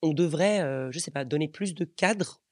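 A man's voice: a little high, normal speed, a little mellow, dry recording, studio quality. A bilingual man, the podcast host, who lived a long time in Australia, interviewing a guest in a casual, upbeat way.

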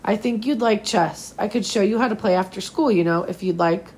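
Woman as male schoolteacher, kind, encouraging, gently coaxing, slightly hoarse, storybook read-aloud. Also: mid-range voice, fairly quick, close to an everyday voice, low-quality recording.

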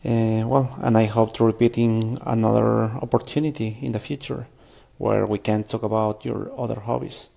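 A man's voice: on the low side, steady tone, moderate pace, gravelly, warm tone. A man hosting an interview, asking questions and thanking his guest in formal, slightly non-native phrasing. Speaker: podcast host.

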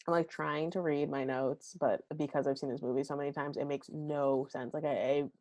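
Brief: female podcast host, low gravelly voice, candid and chatty, swears a lot, dry close mic. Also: steady tone, normal speed, darkish tone, dry recording. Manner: chatty and candid, swears freely, many fillers. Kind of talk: casual solo podcast chat about a movie. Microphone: home recording at a computer.

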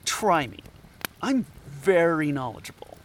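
An actor playing an earnest captive anthropologist, speaking haltingly and persuasively in an audio drama. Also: male voice, fairly high pitch, big pitch swings, slight background noise. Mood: earnest, pleading. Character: earnest scatterbrained male anthropologist, captive and pleading.

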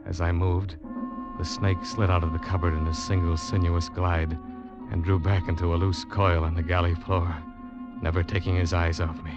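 A man's voice: deep voice, level tone, lightly acted. An actor playing a guilt-ridden young seaman who narrates his ordeal in tense, dramatic old-time radio style. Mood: hushed, tense dread. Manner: tense, dramatic, deliberate storytelling. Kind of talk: 1940s-50s radio drama acting, suspense narration. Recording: muffled old radio broadcast, loud music behind.

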